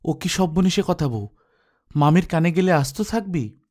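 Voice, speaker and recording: A man's voice: fairly high pitch, animated, normal speed, darkish tone, voice pushed up. A man voicing a sensible older sister-in-law in a story reading, familiar and coaxing in manner. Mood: alarmed.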